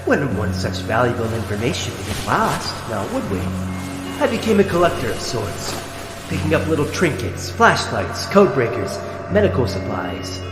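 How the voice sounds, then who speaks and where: A man's voice: mid-range voice, big pitch swings, normal speed, close to an everyday voice. A man voicing a sardonic mutant sea-creature captive in a fan comic dub, with theatrical, drawling sarcasm. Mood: smug, sly. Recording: echoey, long reverb, loud music behind. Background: music.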